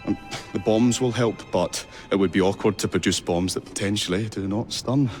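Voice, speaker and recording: An actor playing a fretful, earnest inventor in a game cutscene, courteous and wordy, with a hesitant stammer. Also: male voice, on the low side, moderate pace, a little mellow, natural voice. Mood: anxious, flustered. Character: fretful, earnest male inventor, eccentric and well-spoken. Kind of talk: video game cutscene acting. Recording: music behind the voice.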